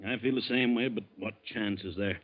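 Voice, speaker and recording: An actor playing a brisk, paternal male bank executive in a 1940s radio drama style. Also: fairly low pitch, lightly acted. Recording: muffled, lo-fi 1940s radio recording.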